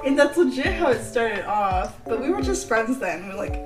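Chatty giggly young woman, slightly high voice. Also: animated, normal speed, far from the mic, over music. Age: 20s. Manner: chatty, giggly, filler-heavy. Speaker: podcast host. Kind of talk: casual chat.

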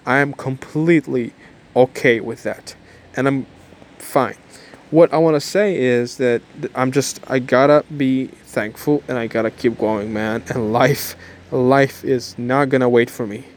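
A man's voice: medium pitch, steady tone, a little slow, slight background noise. A nineteen-year-old man vlogging casually and rambling through a repetitive motivational pep-talk outdoors. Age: young adult. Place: outdoors in the cold.

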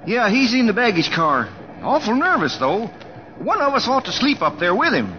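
An actor playing a fretful, grumbling cowboy sidekick in an old-time radio drama. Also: male voice, sits high, huge pitch swings, normal speed, lo-fi sound. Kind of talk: 1940s-50s radio drama acting.

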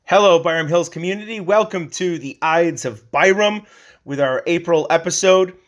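A man's voice: a little high, moderate pace, soft background music. A man speaking as the host of a podcast, giving an introduction.

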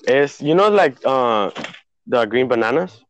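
A man's voice: mid-range voice, expressive, a little slow, highs cut off, a little hiss or hum. A young man from Honduras co-hosting a casual podcast chat, rambling with many fillers and slipping into Spanish words. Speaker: podcast co-host.

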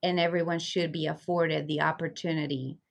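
A woman's voice: low voice, flat delivery, normal speed, vocal fry. A woman from Venezuela, a community liaison, speaking earnestly and reflectively as a guest in an interview. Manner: earnest, reflective, with frequent fillers. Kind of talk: conversational interview.

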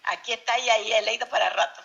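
Muffled call, bright creaky older Venezuelan woman. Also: fairly high pitch, steady tone, fast talker. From Zulia, Venezuela. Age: 60s. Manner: emphatic, repeats phrases. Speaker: interview guest, journalist. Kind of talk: conversational interview answers.

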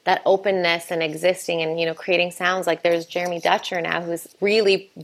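Earnest woman, festival director, speaking slightly low in an unscripted interview. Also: level tone, a little fast. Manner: earnest, many restarts and fillers. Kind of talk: conversational interview answer.

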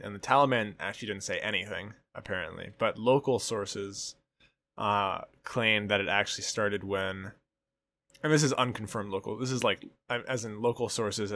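A man's voice: fairly low pitch, sharp tone, no room sound. A man co-hosting a casual podcast, rambling and trailing off mid-thought before restarting. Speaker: podcast co-host.

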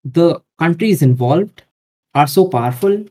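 A man's voice: a little high, animated, normal speed, dark tone, poor audio. A man speaking as a podcast guest, giving hesitant, hedging answers in casual talk.

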